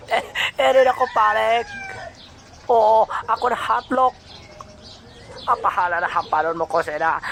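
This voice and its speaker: An actor playing a male gangster turned rescuer in a comic audio drama, speaking in broken, repetitive, halting phrases. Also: mid-range voice, a bit bright, slight background noise, natural voice. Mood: scheming, evasive. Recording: music behind the voice.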